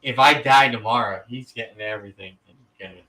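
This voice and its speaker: A man in his thirties talks as a guest on a live call, animated and stop-start, with the occasional casual swear. His tone is bright and sharp, and he sounds set back from the microphone. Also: mid-range voice, fast talker, recorded from a distance, poor audio. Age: 30s. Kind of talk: casual chat.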